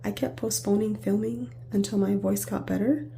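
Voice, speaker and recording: A woman with a hoarse, raspy voice reviewing a perfume in a casual, rambling way, often correcting herself mid-sentence. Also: mid-range voice, steady tone, normal speed, dark tone, a little hiss or hum. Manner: chatty, rambling with self-corrections.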